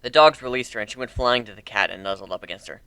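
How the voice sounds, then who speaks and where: A man giving an expressive dramatic reading of a first-person fantasy story as its narrator. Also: fairly low pitch, quick pace, smooth, no room sound.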